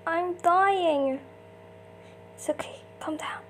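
A woman's voice: extremely high pitch, takes it slowly, slight background noise. A woman doing an amateur comic dub, acting out short character lines theatrically in switching voices.

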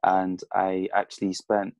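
A man's voice: sits low, completely flat delivery, unhurried, gravelly, darkish tone, no room sound. A man in his twenties from Congo and the UK, a French speaker, chatting casually in an interview over a video call. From Congo, Zambia and the UK. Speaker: boxer, interview guest. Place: indoors, plain room at home. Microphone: earphones on a video call.

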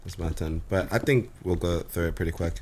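A man in his twenties with a raspy, sick voice, chatting casually while gaming with a headset. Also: a little fast, a little mellow, slight background noise. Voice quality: deep. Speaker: co-host of a Minecraft series. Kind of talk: casual gameplay chat. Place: home room, indoors.

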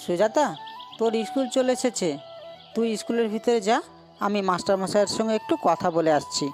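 A woman's voice: fairly high pitch, a little fast, loud music behind the voice, close to an everyday voice. A woman voicing a poor, honest young boy in a cartoon, speaking in an earnest, pleading way. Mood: earnest, gentle. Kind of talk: Bengali cartoon dubbing.

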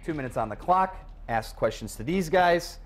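A young man hosting a studio sports talk show, firing quick questions at his guests on a lapel mic. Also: a little high, lively intonation. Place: studio set with couches.

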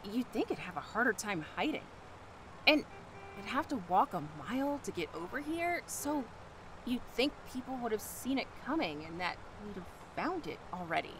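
A woman playing a brisk, no-nonsense animal expert in an audio drama. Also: a little high, very animated, background noise. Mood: puzzled.

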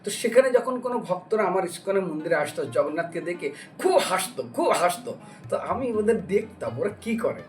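A man's voice: high-pitched, fairly quick. A man giving a devotional lecture as a story, acting out dialogue and putting questions to his listeners. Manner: animated retelling with acted dialogue and questions to listeners. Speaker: religious preacher and storyteller. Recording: distant mic, music behind the voice.

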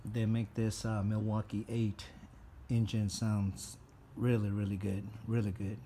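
Slow, mellow low-voiced man. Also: little pitch movement, slight background noise. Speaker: customer reviewing motorcycle mufflers. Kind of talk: casual product review.

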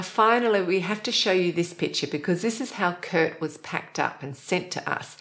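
A woman's voice: lowish voice, fairly bright tone. A middle-aged woman hosting a knitting show from a living-room sofa, chatty and cheerful, laughing often. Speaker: host.

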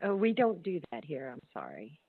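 A woman's voice: low-pitched, wildly swooping pitch, normal speed, gravelly, slightly rough. A woman working as a law office receptionist, talking politely and a little hesitantly with a caller. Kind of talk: phone conversation with a caller. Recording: muffled narrow telephone line.

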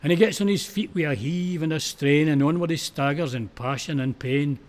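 A Scottish man with a slightly high voice recites a narrative poem. He speaks in broad Scots dialect and tells the tale with theatrical expression. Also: moderate pace, darkish tone. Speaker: poetry reciter.

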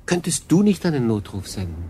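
An actor playing a stranded solo sailor in a radio drama, pragmatic and thoughtful, speaking in a plain, pensive way. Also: male voice, mid-range voice, very animated, a little fast, warm tone, a little hiss or hum, lightly acted. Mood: matter-of-fact.

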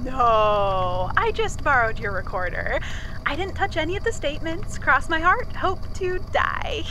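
A woman voicing a cheerful, accident-prone male archival assistant in an audio drama, chatty and wry with thoughtful pauses. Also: high voice, expressive, background noise. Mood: casual, evasive. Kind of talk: confessional monologue in an audio drama.

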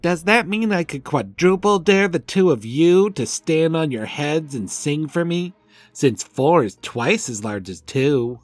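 A woman voicing a stubborn, indignant young unicorn filly, sceptical and sharp, in a cartoon fan-fiction audio drama. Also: low voice, lively intonation, measured pace, dry recording, soft background music.

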